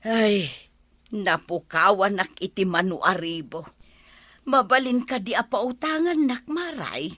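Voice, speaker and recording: A nervous, evasive wife in a radio comedy. Her voice is bright and dry, leaping up and down in pitch as she hesitates and stammers. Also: expressive, a little slow, long pauses, no room sound. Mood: worried, pleading. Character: flustered, evasive wife hiding a money secret. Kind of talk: regional radio comedy drama acting.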